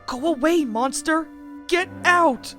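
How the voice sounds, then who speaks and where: A woman's voice: sits high, slow, pushed and bright, pitched above the performer's own voice, exaggerated voice. A woman voicing an earnest young male tank engine in a storybook, brave but jittery and urgent, over music. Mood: alarmed, defiant. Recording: music under the voice.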